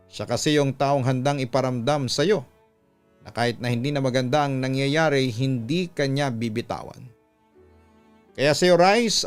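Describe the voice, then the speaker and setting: A man reading a scripted personal story as a radio-style narrator, with a clear, smooth voice. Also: medium pitch. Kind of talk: scripted narration of a listener's letter, then advice. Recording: background music under voice. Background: music.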